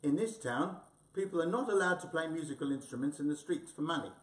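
A man's voice: a little high, animated, quick pace, close to an everyday voice. A man voicing a dutiful, officious policeman who speaks in a firm way. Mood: stern. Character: dutiful town policeman, stern. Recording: roomy echo, distant mic.

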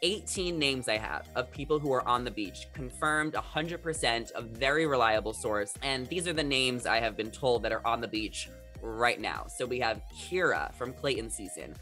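A man's voice: mid-range voice, animated, normal speed, pushed and bright, soft background music. A young man in his twenties chatting to camera as a gossip vlogger, upbeat and full of "like" and "um", on a desk mic. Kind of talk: casual chat to camera.

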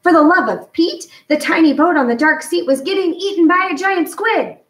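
A woman's voice: extremely high pitch, normal speed, voice pushed up. Woman reading as an earnest, emphatic girl, echoey. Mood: alarmed. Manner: exclaiming, emphatic. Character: earnest, outspoken young girl, indignant and dramatic. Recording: echoey room, distant mic.

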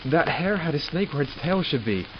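A man's voice: a little high, expressive, moderate pace, natural voice. A man acting in an audio drama as an arrogant, exasperated doctor who studies supernatural captives, clinical and sardonic in manner. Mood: exasperated, irritated. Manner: clinical, sardonic, self-talking. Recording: muffled, with loud background noise.

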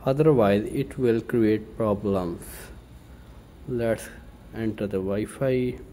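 A man presenting an informal step-by-step tech tutorial in a halting way, thinking aloud as he works. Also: fairly low pitch. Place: indoors at a computer desk. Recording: steady room noise.

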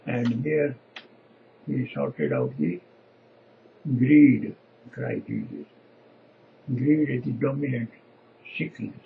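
Gravelly older Punjabi-accented man, preaching slowly. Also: medium pitch, expressive, narrow, tinny audio, a little hiss or hum. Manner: halting, short emphatic phrases. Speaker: lay preacher. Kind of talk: informal sermon to camera. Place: small indoor home office.

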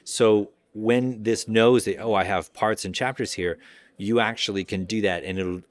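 A man informally demonstrating software in a conversational, explanatory way. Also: lowish voice, steady tone, normal speed, dry recording. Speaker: audio software company representative giving a demo.

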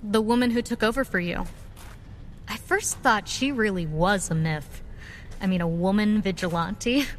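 An actress plays a spirited young woman, a nurse turned vigilante, in a radio play. Her voice is medium in pitch, frank and wry, with faint ambience behind it. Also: normal speed, a little hiss or hum, close to an everyday voice. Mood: earnest, reminiscing. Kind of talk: audio drama acting.

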